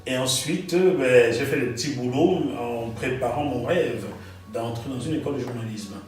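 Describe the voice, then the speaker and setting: A man, a guest author recounting his path in an animated way, often repeating phrases for emphasis. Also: medium pitch, moderate pace. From Cameroon. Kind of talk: storytelling interview. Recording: echoey room, distant mic, faint music.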